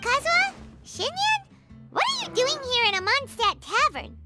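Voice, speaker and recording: A woman voicing a tiny, excitable, childlike fairy sidekick in a video game, whiny and dramatic, talking about herself in third person. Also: very high voice, moderate pace, over loud music. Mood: chatty. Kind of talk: video game cutscene acting.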